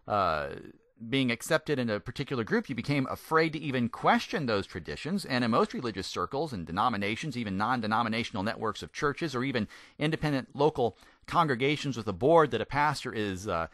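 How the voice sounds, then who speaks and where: A man, a native English speaker, gives a conversational Bible teaching, putting questions to the listener and stressing words deliberately. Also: mid-range voice, big pitch swings, a bit bright, no echo, studio quality. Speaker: Bible teacher, former pastor. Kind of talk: conversational sermon, Bible teaching with scripture reading.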